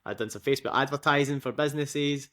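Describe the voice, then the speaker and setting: A man's voice: mid-range voice, fairly even intonation, fast, fairly bright tone. A young man with a Scottish accent, a guest in a casual interview, talking in a chatty way with frequent laughs and fillers. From Scotland.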